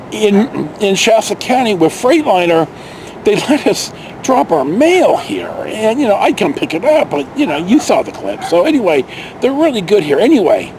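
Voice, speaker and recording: An older American man with a high voice that swings widely in pitch tells stories casually and folksily, wandering off on asides. He is recorded outdoors, with faint ambient noise and vehicles behind him. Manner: folksy, digressive, mild swearing. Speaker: vlog host telling personal stories. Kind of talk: casual rambling storytelling. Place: outdoors in a park.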